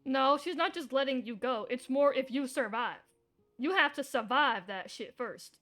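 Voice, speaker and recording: A woman's voice: high-pitched, normal speed, a bit bright. A woman streamer giving casual live commentary on a game, blunt and freely swearing. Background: faint game music.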